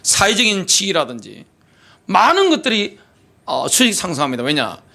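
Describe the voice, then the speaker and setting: Animated high-voiced preaching man. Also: normal speed, vocal fry. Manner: animated preaching with rhetorical questions, reads scripture aloud. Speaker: pastor. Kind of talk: sermon.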